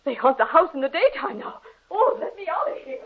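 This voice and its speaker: A woman's voice: high voice, sing-song, fairly quick, a little hiss or hum. A woman playing a gossipy, superstitious and fearful neighbor in an old radio horror drama, speaking in an ominous, theatrical way. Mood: panicked. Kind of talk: 1940s radio horror acting. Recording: muffled, lo-fi old radio recording.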